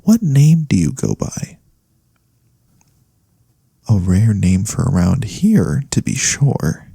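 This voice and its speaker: Man playing a soft, slow-spoken elf cleric. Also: fairly low pitch, sing-song, creaky, husky, no echo, clean studio sound, exaggerated voice. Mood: friendly, curious. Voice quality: soft-spoken, breath-light delivery. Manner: soothing, attentive, slightly formal phrasing. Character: gentle, caring male elf cleric healer. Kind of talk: soft-spoken ASMR fantasy roleplay. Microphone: close studio USB condenser microphone.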